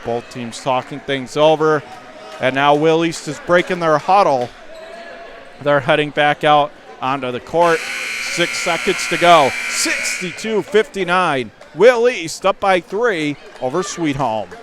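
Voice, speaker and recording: A man doing live radio play-by-play of a high school basketball game from the gym, emphatically restating the score and clock. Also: expressive, unhurried, a bit bright. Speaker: play-by-play sports announcer.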